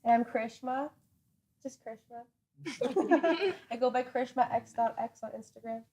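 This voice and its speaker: Femme invitée à la voix assez aiguë, rieuse, phrases courtes. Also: female voice, on the high side, normal speed, mellow, lo-fi sound. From Kitchener. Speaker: panel guest.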